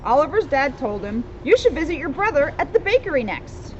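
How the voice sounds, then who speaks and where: A woman's voice: high-pitched, big pitch swings, a little fast. A woman reading a children's storybook aloud with casual asides, recorded outdoors. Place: outdoors in a town street. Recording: dull outdoor recording with loud street noise.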